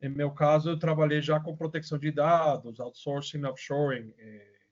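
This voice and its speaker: A man's voice: fairly high pitch, steady tone, normal speed. Venezuelan-accented man presenting a webinar. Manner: casual, many fillers and tag questions. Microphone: video call. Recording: muffled lo-fi audio.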